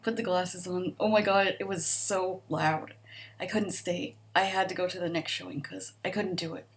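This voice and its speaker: Soft-spoken woman with a slight stutter. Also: fairly low pitch, steady tone, normal speed. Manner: hesitant, with frequent ums and likes, laughs softly. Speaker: vlogger answering a survey. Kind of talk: casual chat.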